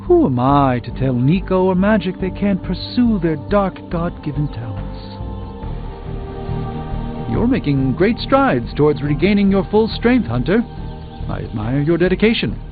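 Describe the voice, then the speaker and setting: An erudite, theatrical sorcerer hero over music. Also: male voice, a little high. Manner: formal, wordy, theatrical, dryly ironic. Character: erudite, dryly witty adult male sorcerer superhero. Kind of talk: video game voice acting. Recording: dull game audio under music.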